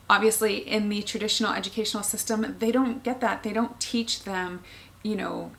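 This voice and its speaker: A woman, a homeschooling mother talking casually to camera in an earnest way, thinking aloud with frequent ums and pauses. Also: medium pitch, vocal fry, a bit bright, a little hiss or hum.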